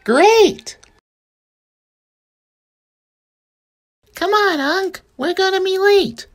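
A woman's voice: very high-pitched, slow, faint music behind, pitched above the performer's own voice. A woman voicing an eager, plucky young girl rabbit in an amateur cartoon, with a chirpy, pleading, exclamatory delivery.

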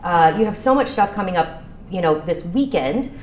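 A woman's voice: fairly low pitch, lively intonation, moderate pace. A woman in her thirties hosting a casual promotional chat, talking in a chatty, enthusiastic way. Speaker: show host. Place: small bar room, indoors. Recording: roomy echo, some room noise.

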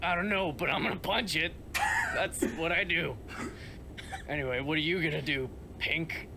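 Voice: medium pitch, moderate pace, creaky, very noisy, lightly acted. A performer voicing a brash, aggressive cartoon echidna in an improvised comedic game dub, blunt and flippant.

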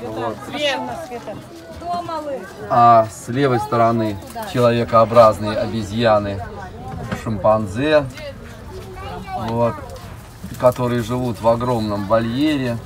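Casting: middle-aged man, park director showing visitors around, casual phrasing with pauses, recorded outdoors in a vehicle. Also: medium pitch, steady tone, a crowd in the background. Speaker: zoo director giving an interview and tour. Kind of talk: casual guided tour talk. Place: open cab of a small vehicle driving through an outdoor park.

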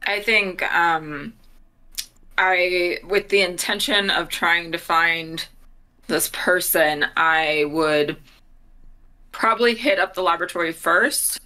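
A woman's voice: lowish voice, slow, sharp tone. A young woman, talking casually as a player in a tabletop game. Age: young adult. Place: home office room, on a video call.

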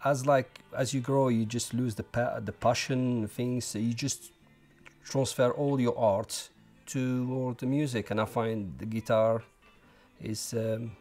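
A man's voice: mid-range voice, steady tone, darkish tone. Algerian guy in his 40s, interview on the couch, lots of you know and uh, music faint behind. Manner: halting, many restarts and fillers. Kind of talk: documentary interview. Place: living room at home. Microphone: lapel.

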